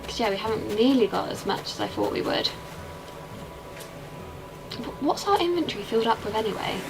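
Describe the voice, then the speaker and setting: A woman's voice: on the high side, huge pitch swings, brisk, gravelly, music in the background, poor audio. A young woman with an English accent, chatting casually and rambling while she plays a game and answers her chat. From England. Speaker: streamer.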